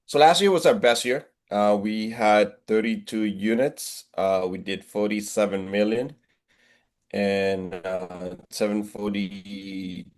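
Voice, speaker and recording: A man in his forties with a Puerto Rican Spanish accent and a fairly low voice. He speaks earnestly and motivationally, restarting phrases as he goes. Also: slow. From Puerto Rico. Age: 40s. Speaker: real estate agent guest. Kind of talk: interview on video call.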